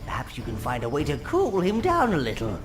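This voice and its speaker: A man's voice: on the high side, wildly swooping pitch, brisk. An actor playing a fussy, servile old minion advisor in a video game, nagging his master with urgent orders. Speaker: advisor to the player. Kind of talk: video game cutscene voice acting. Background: game music.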